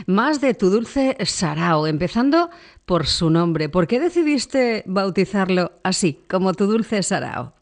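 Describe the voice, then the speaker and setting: Woman radio host, friendly, measured questions, fillers, mid-pitch. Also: very animated. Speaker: radio interviewer. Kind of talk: radio interview.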